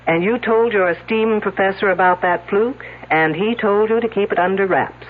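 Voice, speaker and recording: An actress playing a sharp-witted, wisecracking woman police detective in a radio mystery, with a wry, confrontational delivery. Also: medium pitch, moderate pace, a little hiss or hum, natural voice. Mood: accusing. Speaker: radio drama actor. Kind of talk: 1970s radio mystery acting. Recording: narrow, tinny old radio broadcast.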